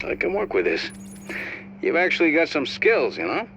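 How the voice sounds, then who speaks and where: An actor playing a practical, casual technician at a base in a video game. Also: male voice, fairly high pitch, wildly swooping pitch, normal speed, sharp tone, highs cut off, a little hiss or hum, exaggerated voice. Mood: impressed. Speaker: game character.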